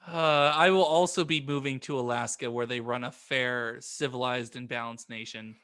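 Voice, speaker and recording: A man's voice: medium pitch, steady tone, moderate pace, a bit bright, smooth. A man on a podcast panel in casual comedic chat, insistent and often repeating himself.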